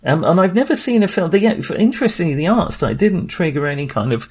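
A man's voice: a little high, very animated, fast, husky. A man talking casually as a film podcast host, in a hesitant, rambling but enthusiastic way.

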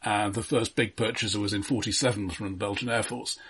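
A man's voice: fairly low pitch, fairly even intonation, fairly quick, a bit bright, husky. A man co-hosting a film podcast, chatting casually in a hesitant, pedantic, detail-minded way. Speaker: film discussion podcast co-host.

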